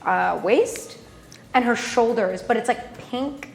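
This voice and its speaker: A woman's voice: fairly high pitch, slow, creaky, slight background noise. A young woman chatting casually and playfully with guests as she hosts a live stream, using plenty of slang. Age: young adult. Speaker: live cosplay panel host. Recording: echoey room, long reverb.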